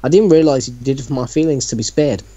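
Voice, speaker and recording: A man's voice: mid-range voice, fast, dark tone, slightly rough, lo-fi sound, lightly acted. A man voicing teenage male friends with an Australian accent in a theatrical reading of amateur melodrama. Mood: earnest. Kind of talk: dramatic reading of amateur fiction.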